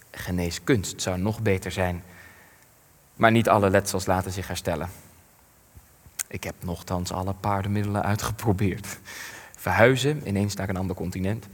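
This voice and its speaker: An actor playing an elderly war survivor in a radio play, grieving and ashamed, speaking in measured, weighty phrases. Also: male voice, deep voice, steady tone. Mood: bitter reflection. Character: elderly male war survivor, grief-stricken, ashamed, plain-spoken. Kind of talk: radio play acting, testimony. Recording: long hall-like reverb.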